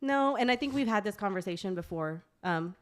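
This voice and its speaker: A woman's voice: mid-range voice, expressive, fairly quick. A woman, guesting on a casual podcast, talking frankly, swearing and laughing often. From Texas. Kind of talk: casual chat.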